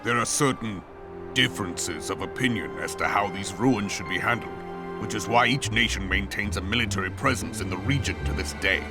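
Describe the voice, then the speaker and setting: An actor playing a stern, seasoned male general who speaks gravely in formal, old-fashioned phrasing, in a game cutscene. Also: lowish voice, brisk, close to an everyday voice. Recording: music score underneath.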